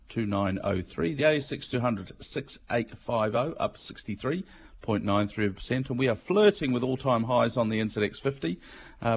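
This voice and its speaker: Chatty Mancunian man with faint hum. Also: fairly low pitch. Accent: Mancunian English. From England. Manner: chatty, explains in plain words, many ums. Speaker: financial markets commentator. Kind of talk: radio business segment.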